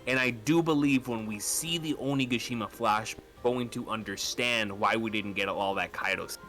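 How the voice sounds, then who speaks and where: A man talking casually and excitedly as a fan, repeating phrases for emphasis. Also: on the low side, normal speed, soft background music. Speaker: podcast speaker discussing manga.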